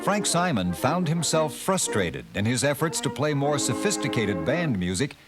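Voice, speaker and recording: A man's voice: medium pitch, moderate pace, over loud music. A man narrating a documentary in a formal, scripted way. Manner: formal, lively delivery of dates and facts.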